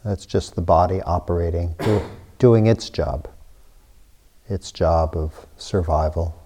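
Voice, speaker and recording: A man with a very low, mellow voice guides a meditation. He speaks in short, hushed phrases and leaves generous pauses between them. The tone is dark and relaxed. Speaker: meditation teacher.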